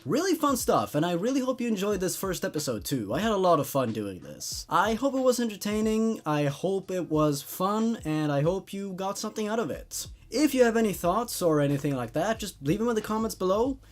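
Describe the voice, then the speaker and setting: Higher-pitched man, casual show host. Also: sing-song, brisk, few pauses. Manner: repeats phrases for emphasis. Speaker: host of horror story reading show. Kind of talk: laid-back casual commentary.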